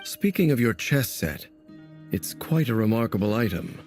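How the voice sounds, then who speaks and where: A man's voice: medium pitch, big pitch swings, normal speed, darkish tone, soft background music, lightly acted. An actor voicing a grave, knowing man in a video game, speaking formally, courteously and with deliberation. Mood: measured, curious. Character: grave, knowing man, philosophical about fate. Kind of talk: scripted game voice acting.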